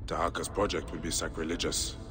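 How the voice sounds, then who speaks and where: An actor playing a grieving, brooding ancient Egyptian warrior in a video game, speaking in a solemn, weary, measured way. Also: male voice, sits low, little pitch movement, over music, lightly acted. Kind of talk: dramatic video game acting.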